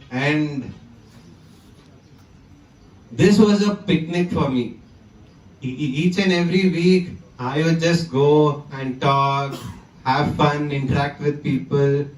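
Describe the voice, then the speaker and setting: A man's voice: fairly high pitch, darkish tone, reverberant, distant mic, low-quality recording. Young man who stammers. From South India. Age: 20s. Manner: stammers, with repeated words and many fillers. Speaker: conference speaker. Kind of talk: personal talk to an audience. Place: conference hall.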